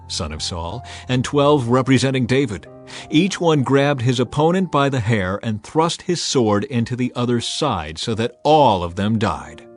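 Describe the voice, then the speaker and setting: A man narrating scripture as a dramatized audiobook, in a measured, solemn storytelling manner. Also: mid-range voice. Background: music.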